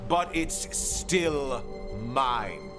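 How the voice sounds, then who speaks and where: An actor playing a scheming, self-righteous city governor in a video game, bitter and theatrical in a measured, sarcastic way. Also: male voice, medium pitch, animated. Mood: defiant, bitter. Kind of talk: dramatic video game voice acting. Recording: music behind the voice.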